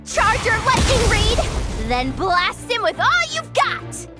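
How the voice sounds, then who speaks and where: Una donna che interpreta in un videogioco una piccola compagna dalla voce infantile ed esclamativa, registrata con musica sotto. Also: female voice, very high voice, slow pace, full character voice. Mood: cheering, excited. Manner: excitable, exclamatory. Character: small high-pitched excitable girlish companion character. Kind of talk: video game voice acting. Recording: loud music behind. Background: game music.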